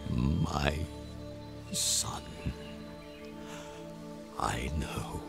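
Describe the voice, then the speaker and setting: An actor playing a great lion ruler in a radio drama, solemn, grave and tender, with a measured, majestic delivery and weighty pauses. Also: male voice, very deep voice, vocal fry, music in the background, full character voice.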